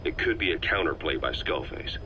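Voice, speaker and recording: An actor playing a shrewd, level-headed veteran intelligence officer in a video game, talking in a measured, analytical way. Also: male voice, extremely low pitch, animated, pushed and bright, full character voice. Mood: grave, analytical. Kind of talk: dramatic video game voice acting. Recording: muffled, slightly noisy cassette tape.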